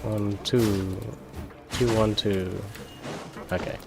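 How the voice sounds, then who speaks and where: A man's voice: fairly low pitch, steady tone, extremely slow. A man giving casual, rambling video game commentary, often repeating words to himself, with game music behind him.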